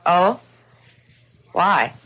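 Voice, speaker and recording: An actress playing an earnest, uneasy housewife in an old-time radio mystery, dramatic and questioning. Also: female voice, on the low side, lively intonation, extremely slow, fairly bright tone, husky, exaggerated voice. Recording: dull, band-limited radio drama recording.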